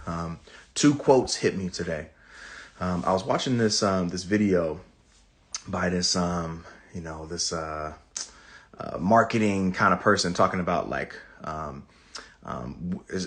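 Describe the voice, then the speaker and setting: A middle-aged man with a somewhat low voice talks to educators in a loose, conversational way. He keeps tossing in "you know" and "right?" as he works through his points. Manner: conversational, frequent fillers and "right?" asides. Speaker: education equity consultant. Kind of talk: casual motivational talk.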